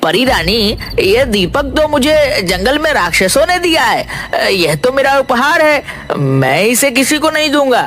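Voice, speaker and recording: An actor voicing a poor, simple village man in an animated folk tale, folksy and pleading. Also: sits high, measured pace, hardly any pauses, faint music behind, exaggerated voice. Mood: worried, pleading. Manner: pleading, folksy, repeats a pet oath. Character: poor, timid, simple male village woodcutter.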